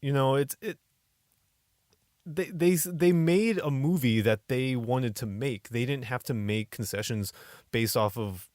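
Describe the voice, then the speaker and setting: A man's voice: mid-range voice, measured pace, darkish tone, dry recording, clean studio sound. A man co-hosting a podcast in casual, teasing chat with his partner. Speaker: podcast co-host.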